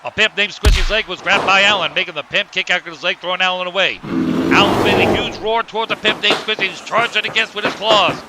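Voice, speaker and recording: A man's voice: sits high, lively intonation, brisk. Man as a wrestling commentator on noisy audio. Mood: narrating. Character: excitable wrestling commentator narrating cartoon fights.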